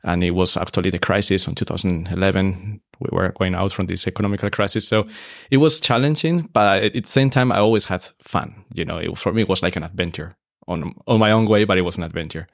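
A deep-voiced man talks through his career at an easy pace. His voice is low and gravelly, full of "uh" and restarts. The sound is dry and close. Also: fast talker, few pauses, no room sound. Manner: relaxed, many fillers and restarts. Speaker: hotel operations expert, guest. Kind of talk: casual interview conversation.

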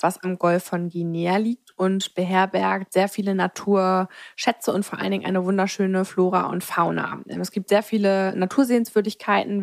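A woman's voice: medium pitch, creaky, dry recording, clean studio sound. A woman hosting a podcast, explaining and chatting in a conversational presenting style. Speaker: podcast co-host.

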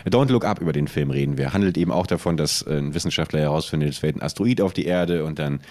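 A man's voice: a bass voice, fast talker, darkish tone. A man co-hosting a casual podcast, musing aloud and asking questions in colloquial language. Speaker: podcast co-host.

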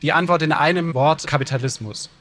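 A man, a political theorist, speaking as a guest in a live panel discussion in an animated, rallying way. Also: a little high, fairly quick.

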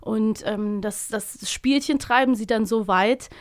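A woman's voice: fairly high pitch, unhurried. A woman hosting a podcast in a casual, conversational style. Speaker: podcast host.

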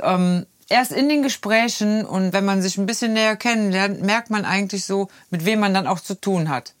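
A woman's voice: on the low side, no echo. Chatty fifty-something Rhineland woman. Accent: Rhineland German. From Rhineland, Germany. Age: 50s. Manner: chatty, easygoing storytelling. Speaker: podcast co-host. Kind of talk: casual chat.

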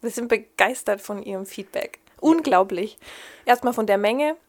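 Woman, Bavarian accent, middle-aged, speech therapist, cheerful, agreeable, mid pitch. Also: normal speed. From Upper Bavaria, Germany. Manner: cheerful, agreeable, laughs easily. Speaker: podcast co-host, speech therapist. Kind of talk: casual podcast chat.

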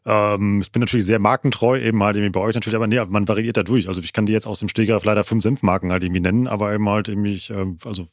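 A man's voice: on the low side, steady tone, very fast, hardly any pauses, no room sound. A man hosting a podcast, moving between scripted presenting and interview talk. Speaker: podcast host.

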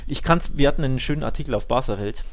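A man's voice: medium pitch, very fast. A man talking football in a casual, colloquial and opinionated way, with emphatic delivery. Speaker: football podcast host.

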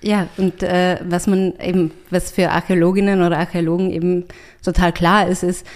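An Austrian German woman talks as a podcast guest in a slightly low voice. She thinks aloud and reaches for her words as she answers. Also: little pitch movement, fairly quick, darkish tone. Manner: thoughtful, searching for words, with restarts. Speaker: writer and artist, guest. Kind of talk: conversational interview answers.

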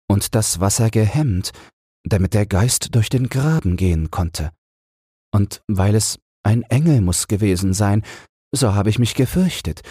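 A fearful servant in a fairy tale, acted by a male storyteller. The gardener speaks in a raised, breathy voice with careful, earnest awe, sounding timid and reverent. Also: lowish voice, mellow, no room sound, clean studio sound, pitched above the performer's own voice.

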